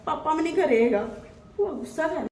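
A woman's voice: high-pitched, expressive, a little fast, reverberant, far from the mic. A woman playing a patient, soothing sister-in-law. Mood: placating. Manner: gentle, placating, reasoning. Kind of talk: family drama skit.

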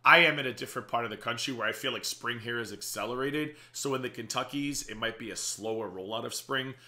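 A man in his thirties hosting a casual reaction video from a small home office, slightly raspy, chatty and sarcastic. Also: medium pitch, bright, forceful tone. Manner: chatty and sarcastic, quick asides. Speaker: reaction channel host.

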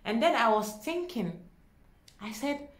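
A young woman with a Nigerian accent tells a story to camera in a dramatic, expressive way, acting out dialogue as she goes. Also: fairly high pitch, unhurried, a little hiss or hum. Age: young adult. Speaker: vlogger. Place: small indoor room at home. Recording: echoey small room.

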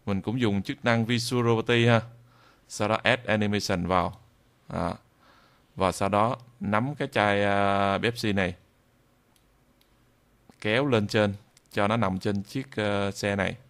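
A man with a southern Vietnamese accent giving an improvised software tutorial, halting and repeating phrases as he demonstrates. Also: on the low side, steady tone, clear, resonant tone. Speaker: tutorial instructor.

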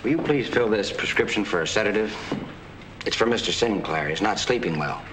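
A man's voice: fairly low pitch, expressive, close to an everyday voice. A man playing an earnest 1940s doctor. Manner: earnest, measured, hesitant at times. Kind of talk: 1940s film acting. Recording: hissy 1940s film soundtrack.

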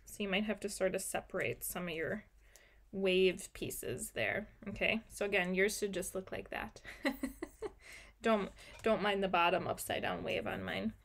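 A woman's voice: mid-range voice, a little slow, gravelly. A young woman teaching a live card-making class at her craft desk, chatting viewers through each step. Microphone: overhead camera on desk, mic off screen.

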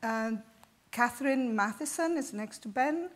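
A woman's voice: a little high, lively intonation. A woman moderating a conference panel, introducing the speakers with frequent fillers. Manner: warm thanks, halting with fillers. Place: conference hall stage.

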